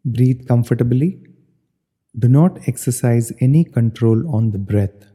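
A man guiding a meditation as an instructor, giving measured step-by-step directions in short phrases. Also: mid-range voice, dark tone, breathy edge. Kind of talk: guided meditation narration. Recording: long hall-like reverb.

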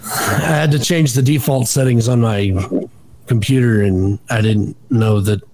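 A man's voice: mid-range voice, steady tone, a little slow, a little mellow. A man co-hosting a live stream, cracking self-deprecating jokes in casual chat. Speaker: co-host.

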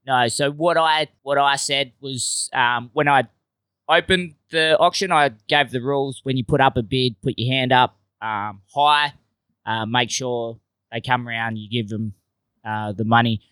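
A man's voice: medium pitch, steady tone, unhurried, sharp tone, clear, resonant tone, no echo. Man, storytelling comedian, swears a lot, dry close recording. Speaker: podcast guest comedian. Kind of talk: casual chat.

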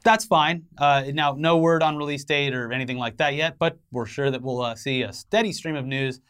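A man's voice: fairly high pitch, moderate pace, fairly bright tone, clean tone. A man co-hosting an entertainment news show, giving conversational commentary with wry, joking asides.

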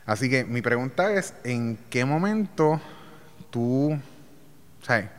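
A man's voice: medium pitch, animated, slow. Man, podcast host, chatty, laughs a lot, long reverb in the room. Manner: chatty, laughs often, mixes in English words. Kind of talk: casual interview.

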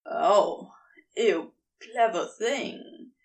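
Woman voicing a slow, teasing giant male serpent. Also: mid-range voice, huge pitch swings, recorded from a distance, heavily acted, rough recording. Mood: pleased, breathy. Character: giant male serpent, mischievous, teasing, self-assured lover.

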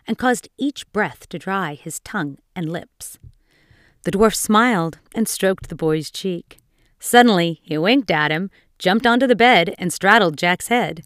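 A woman narrating a scripted dark fairy tale as a storyteller, with a dramatic, expressive delivery. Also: mid-range voice, dry recording, studio quality.

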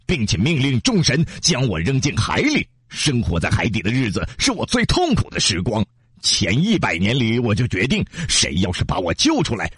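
A man's voice: medium pitch, breathy edge, no echo, clean studio sound. A man voicing a gloating evil giant demon. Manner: gloating, mocking laughter.

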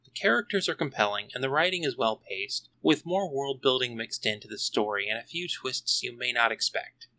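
A man presents a scripted solo review as a podcast host. His voice is bright and punchy, with lively swings in pitch. He drops in wry, tongue-in-cheek asides as he goes. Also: normal speed, few pauses, low-quality recording.

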